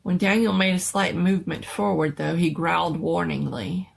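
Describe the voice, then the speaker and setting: Husky, low-voiced woman telling a story. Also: steady tone, moderate pace. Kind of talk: dramatic reading of a scary story.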